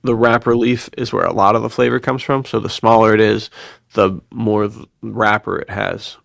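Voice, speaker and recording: A man's voice: lowish voice, steady tone, normal speed, gravelly, no room sound, studio quality. A man chatting casually as a podcast co-host, enthusiastic and full of bro slang. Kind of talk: casual chat.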